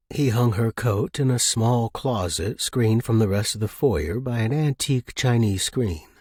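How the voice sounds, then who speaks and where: Male podcast host and fiction narrator, lower register, husky texture, dry close recording. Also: a little mellow, no room sound. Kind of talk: casual intro and scripted audiobook narration.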